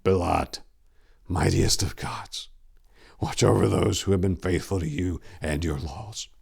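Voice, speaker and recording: A man voicing a fierce, grieving eldest brother, a warrior monk in a dark fantasy story, anguished and solemn. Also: sits low, steady tone, a little slow, creaky, breathy edge, heavily acted. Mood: grieving, grim.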